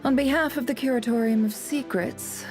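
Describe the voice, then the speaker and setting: Woman playing a cool, mellow-voiced spymistress. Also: a little high, normal speed, faint music behind, natural voice. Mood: cool, businesslike. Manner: dry, sardonic, composed. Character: shrewd, cool woman intelligence boss. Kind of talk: video game voice acting.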